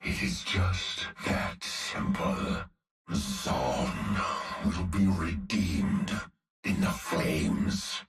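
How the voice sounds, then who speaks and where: Cyborg brute, masked, gravelly, menacing, game voice heard from a distance. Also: male voice, rumbling low, extremely slow, echoey room, faint music behind, heavily acted. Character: brutal cyborg male brute, gleeful and merciless. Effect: mask filter.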